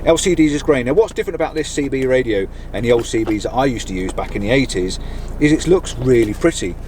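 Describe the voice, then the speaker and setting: Middle-aged British male vlogger, enthusiastic and informal, medium pitch, casual review delivery recorded inside a campervan with light background noise. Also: expressive, fast, a little mellow. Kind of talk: casual product review to camera. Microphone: camera on a mount in the van.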